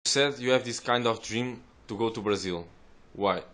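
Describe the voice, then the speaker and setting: A man's voice: medium pitch, normal speed, fairly bright tone. A man interviewing a job applicant with short, direct questions.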